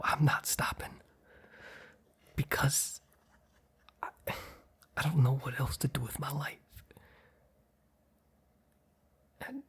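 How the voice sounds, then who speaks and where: A man playing a guarded, earnest mob boss boyfriend in an intimate ASMR roleplay, speaking in a hesitant, breathy, husky whisper. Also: mid-range voice. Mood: earnest, resigned. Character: guarded, apologetic young male mob boss, boyfriend confessing.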